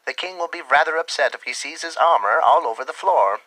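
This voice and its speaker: A man's voice: on the high side, expressive, fast talker, bright, forceful tone, no room sound, soft background music. A man giving scripted, theatrical storytelling narration and instructions for a children's game.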